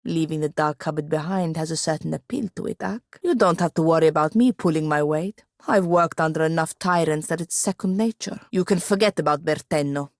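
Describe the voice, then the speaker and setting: Game role: exasperated female wizard's apprentice, lowish voice, dry biting sarcasm, close dry recording. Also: a little fast, hardly any pauses, dry recording. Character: sardonic, exasperated female wizard's apprentice, proud and long-suffering. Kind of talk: video game character voice acting.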